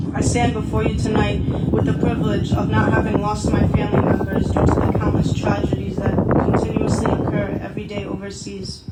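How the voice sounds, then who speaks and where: A man giving a solemn, earnest prepared speech at a candlelit vigil, recorded from a distance. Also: high-pitched. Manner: earnest, solemn, repetitive phrasing. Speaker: vigil speaker. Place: gathering indoors, recorded from afar. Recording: echoey, distant, noisy event audio.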